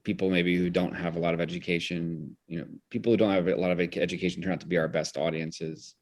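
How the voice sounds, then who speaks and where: A man with a low-pitched voice talks as an interview guest. He is articulate and intellectual, thinking ideas through aloud and sometimes restarting a phrase as he searches for wording. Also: level tone, quick pace. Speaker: interview guest, theater director. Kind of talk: reflective conversational explanation.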